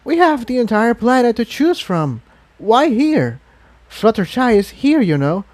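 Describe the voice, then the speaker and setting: Guy doing a high thin strained young dragon boy, nervous, full of questions, cartoon fan story. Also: big pitch swings, measured pace, a little mellow, no echo, exaggerated voice. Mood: doubtful, protesting.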